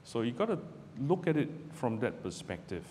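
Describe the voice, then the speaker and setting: A middle-aged man from Malaysia, a barrister giving a measured, deliberate public talk to students from a podium in a lecture hall. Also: mid-range voice, sing-song, a little mellow. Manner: measured, deliberate delivery with pauses. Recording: roomy hall with long reverb, faint background noise.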